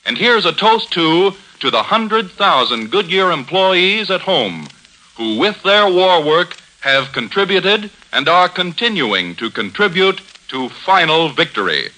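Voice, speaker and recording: A man's voice: high voice, very animated, a little slow, bright, forceful tone, low-quality recording. A man announcing in formal, emphatic 1940s radio style, declaiming a scripted sponsor message on a vintage broadcast recording.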